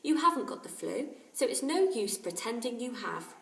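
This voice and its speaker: A woman's voice: a little high, sing-song, moderate pace, gravelly, pitched above the performer's own voice. A woman voicing a kind, chatty boy who is fond of his pet, in a storybook reading. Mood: gently chiding. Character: kind boy recovering from flu, fond of parrot. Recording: roomy, distant microphone.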